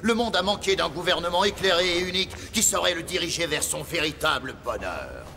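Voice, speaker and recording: A man's voice: a little high, sing-song, fairly quick, sharp tone, a little hiss or hum, full character voice. A man playing a zealous conspirator leader in a cartoon, delivering grandiose, declamatory political oratory. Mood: grave, stern. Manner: pompous, declamatory. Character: zealous male conspirator leader rallying world powers. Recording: music and crowd behind the voice.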